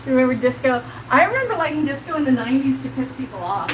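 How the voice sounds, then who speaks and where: A woman's voice: high voice, very animated, racing. A woman in her forties with a South Kansas accent, chatting casually on a live stream while cooking in her home kitchen, rambling and leaning on "like" as she answers her chat. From Colorado. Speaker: livestreamer. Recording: sizzling pan noise behind. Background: sizzling pan.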